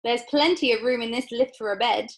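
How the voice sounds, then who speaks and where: A woman's voice: a little high, a little fast, pitched above the performer's own voice, lightly acted. A woman voicing an exuberant, eccentric man who owns a chocolate factory, with an emphatic, commanding manner. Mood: excited.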